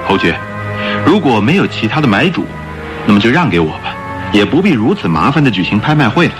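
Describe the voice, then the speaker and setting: An actor playing a suave, self-assured gentleman thief in a radio drama, speaking smoothly and politely. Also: medium pitch, big pitch swings, fairly quick, music in the background, natural voice. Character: suave, confident gentleman thief posing as rich buyer.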